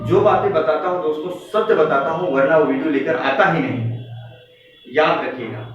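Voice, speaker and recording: A man's voice: fairly high pitch, moderate pace. Echoey, distant man, emphatic. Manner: emphatic, repeats phrases, exhorting. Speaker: coaching institute teacher. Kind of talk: exam news update talk to students. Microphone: distant microphone. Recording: very echoey room, distant muffled mic, faint noise.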